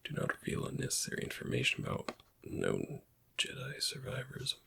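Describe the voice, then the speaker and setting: A man playing a weary, bitter Imperial interrogator in a whispered ASMR roleplay, questioning in a halting, pointed way with a breathy, husky voice. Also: pushed and bright, natural voice. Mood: cold, sardonic.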